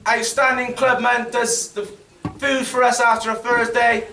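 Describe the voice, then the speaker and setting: A man's voice: very high-pitched, level tone, a little slow, vocal fry, bright, forceful tone. A man with an English accent, a club captain giving an informal, joking speech at an awards dinner and teasing the crowd. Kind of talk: informal banter-filled speech. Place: large function room at a dinner. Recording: echoey room, far mic, background noise.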